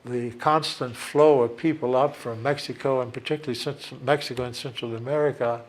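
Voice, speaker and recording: A church leader reflects in a documentary interview, pausing often and filling gaps with small hesitations. His voice is mid-pitched and even. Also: slight background noise.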